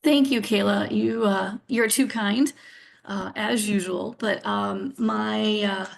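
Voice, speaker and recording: A woman's voice: mid-range voice, steady tone, slow, creaky. A woman, presenting a webinar over a video call. She has a scratchy voice from sinus trouble and talks in an upbeat, encouraging way with frequent fillers.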